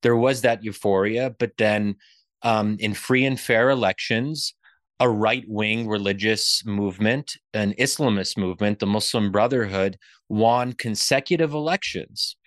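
A man's voice: fairly low pitch, a little slow, no room sound. A man from Pennsylvania with an American accent, speaking as an interview guest in an earnest, explanatory way about his views. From Pennsylvania, United States. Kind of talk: reflective political discussion.

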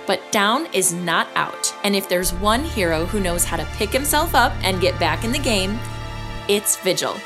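A woman hosting and narrating an audio drama in a measured storytelling manner. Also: mid-range voice, lively intonation, music in the background. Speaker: narrator and host. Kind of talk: scripted narration.

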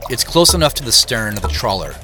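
A man's voice: mid-range voice, big pitch swings, quick pace, noisy background, natural voice. An actor playing a troubled, weary younger brother who tells his story in an understated, reflective way in an audio drama. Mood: reflective, hushed. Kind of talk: first-person audio drama narration and dialogue.

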